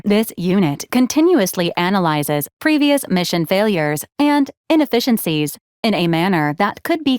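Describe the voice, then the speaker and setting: A woman voicing a polite, literal-minded robot medical support unit in a video game, with a formal, clinical, deadpan delivery. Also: mid-range voice, dry recording, clean studio sound, natural voice.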